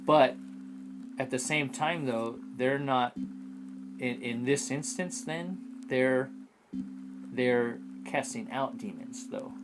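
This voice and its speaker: A man's voice: medium pitch, takes it slowly, long pauses, music in the background, rough recording. A man co-hosting a casual fan podcast, talking things through inquisitively, recapping and posing questions. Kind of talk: casual discussion of an audio drama.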